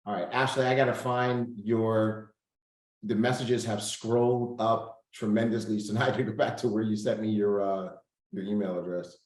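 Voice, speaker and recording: A man's voice: fairly low pitch, little pitch movement, moderate pace, darkish tone, echoey room, rough recording. A man hosting a casual group coaching call over video, upbeat, thinking aloud and reading out figures. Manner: upbeat, reads out numbers, thinks aloud while clicking.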